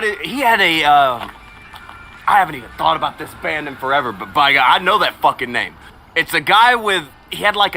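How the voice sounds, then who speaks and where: A man's voice: a little high, pushed and bright, slight background noise. A man co-hosting a live stream, chatting casually, reading viewer comments aloud and telling crude, profane stories with a teasing edge. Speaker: stream co-host.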